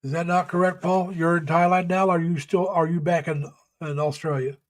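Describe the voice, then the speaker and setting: A middle-aged man with a slightly raised pitch talks to his live-stream viewers over a home mic. He is folksy and rambling, laughs easily, and halts on plenty of uhs. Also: little pitch movement, brisk. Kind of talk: casual chat reading viewer comments. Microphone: home webcam or computer mic.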